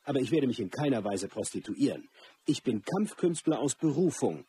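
An actor playing a proud, self-assured martial artist and film star in a radio play, speaking earnestly and deliberately. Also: male voice, medium pitch, very animated, dark tone, husky, dry recording. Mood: resolute. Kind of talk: scripted monologue in a radio play. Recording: music behind the voice.

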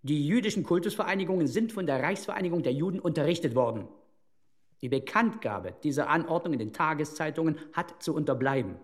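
Man as higher-voiced officious police bureaucrat. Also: lively intonation, fast, lightly acted. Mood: bureaucratic. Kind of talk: formal reading of police orders in radio drama.

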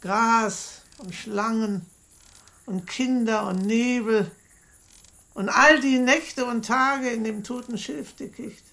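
A very slow, dreamy village woman. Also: a little high, a bit bright. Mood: dreamy, musing. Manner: slow, musing, listing images. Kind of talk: German radio drama dialogue.

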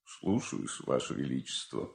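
A man acting a loyal, obedient royal servant, hushed, grave and deferential, in an audio fairy tale. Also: a bass voice, very animated, measured pace, vocal fry, exaggerated voice.

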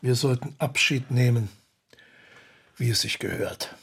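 A man acting a proud, irascible eighteenth-century composer in a German period radio drama, sardonic and commanding, with a gravelly, husky voice. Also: medium pitch, unhurried, a bit bright, exaggerated voice. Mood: subdued, solemn.

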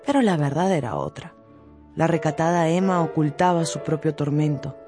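A woman giving expressive, scripted storytelling narration for a radio drama. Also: deep voice, moderate pace, music in the background.

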